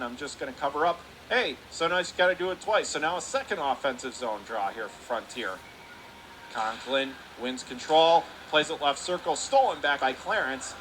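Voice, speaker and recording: A man in a broadcast booth calling a hockey game play by play, with rapid, clipped calls that climb higher on shots and saves. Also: sharp tone, slight background noise, rough recording. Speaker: radio play-by-play announcer. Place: broadcast booth above an ice rink.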